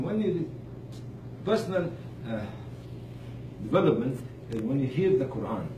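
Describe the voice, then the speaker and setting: Guy giving a motivational faith talk, really lively pitch, far from the mic with noise. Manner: emphatic, pausing, rhetorical questions to listeners. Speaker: motivational speaker addressing charity staff. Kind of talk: informal religious motivational talk. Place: room with live audience. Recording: distant mic, echoey room, clear noise.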